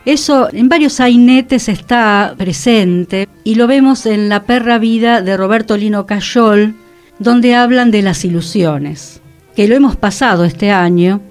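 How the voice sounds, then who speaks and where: A woman's voice: medium pitch, darkish tone. A woman with a Rioplatense Argentine accent, talking reflectively as a radio host about cultural history.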